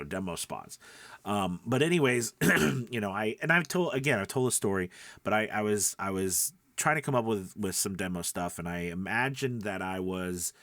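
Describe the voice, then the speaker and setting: A man with a fairly low voice talks through a lesson like a coach chatting to beginners. He rambles conversationally and keeps checking in as he goes. The sound is dry and close, with very little room. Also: a bit bright, dry recording. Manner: conversational and rambling, often checking with "right?" and "you know". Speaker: voice-over coach and podcast host. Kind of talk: informal tutorial talk.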